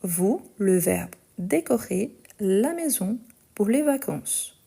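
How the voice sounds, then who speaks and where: A woman teaching a live online French lesson, speaking slowly and carefully, repeating each sentence and spelling out the verb endings. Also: medium pitch, animated, vocal fry, warm tone, husky.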